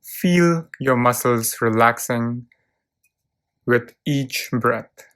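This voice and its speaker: A narrator leading a guided visualization in a calm, soothing, measured way, leaving long pauses between short phrases. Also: mid-range voice. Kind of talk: guided visualization, relaxation script.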